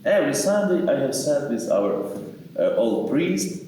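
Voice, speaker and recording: Ukrainian-accented priest in an echoey room. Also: male voice, on the high side, very animated, mellow. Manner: halting, repeats words while searching for English. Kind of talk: interview answers, storytelling. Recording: echoey room, mic far off, faint noise.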